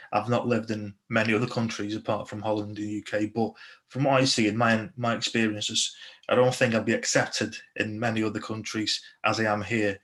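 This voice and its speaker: Earnest low-voiced man on a video call. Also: a bit bright. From Slovakia. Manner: earnest, many restarts and fillers. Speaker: interviewee, police constable.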